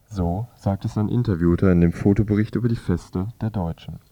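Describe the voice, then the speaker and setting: A man's voice: fairly low pitch, steady tone, brisk, mellow, dry recording. A man presenting a scripted magazine review on the radio as narrator.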